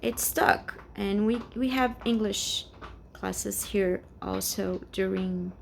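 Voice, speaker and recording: A Brazilian woman in her forties talks through a rambling personal vlog in English, very slowly, at a middle pitch. She hesitates often and searches for her words, with her Portuguese accent clear throughout. A faint hum of room ambience sits behind her at home. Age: 40s. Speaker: vlogger. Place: home, indoors.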